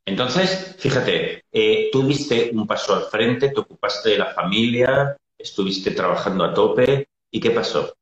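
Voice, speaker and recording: A man's voice: fairly low pitch, measured pace. A man hosting an interview, prompting with short questions and hesitant fillers. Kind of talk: casual interview. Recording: echoey muffled remote line.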